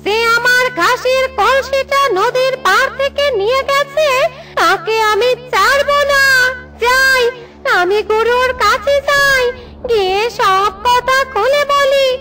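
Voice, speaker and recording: A woman's voice: extremely high pitch, slow, pitched above the performer's own voice. A woman voicing an angry, vengeful female ghost in a children's cartoon, indignant and threatening. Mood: aggrieved, determined. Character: angry vengeful female ghost hunting her stolen grass pot. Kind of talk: children's fairy-tale cartoon voice acting.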